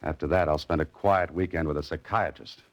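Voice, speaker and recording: An American actor playing a cool, wry amateur detective, talking dryly and tersely in 1940s radio drama style. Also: male voice, extremely low pitch, fairly even intonation, brisk. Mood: wry, determined. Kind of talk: 1940s radio drama acting with self-narration. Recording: vintage radio drama, music underneath.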